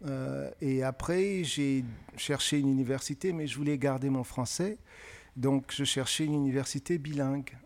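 A middle-aged man who grew up in francophone Africa, answering interview questions in a measured, thoughtful way with frequent pauses. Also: mid-range voice, fairly even intonation. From francophone West and Central Africa. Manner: measured, thoughtful, pausing often with hesitations. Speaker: guest author.

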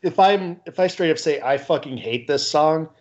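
A man in his forties co-hosting a podcast, talking casually and haltingly with many "like"s and "you know"s. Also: a little high, highs cut off. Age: 40s. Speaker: podcast co-host.

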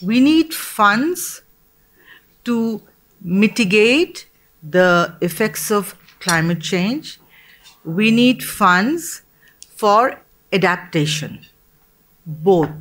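A woman from Bangladesh speaking as a panelist in a discussion, earnest and measured, with pauses and restarts. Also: medium pitch, animated, fairly bright tone.

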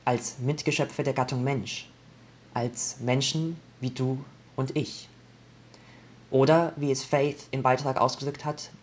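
A man reading out a prepared laudation as a jury member, in a measured, formal style. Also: mid-range voice, darkish tone, a little hiss or hum. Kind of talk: scripted speech, measured reading.

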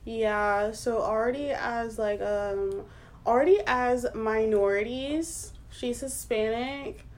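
A woman's voice: a little high, slow pace. A woman in her twenties co-hosting a casual chat podcast, talking with many fillers and frequent laughs. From United States. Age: 20s. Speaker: podcast co-host.

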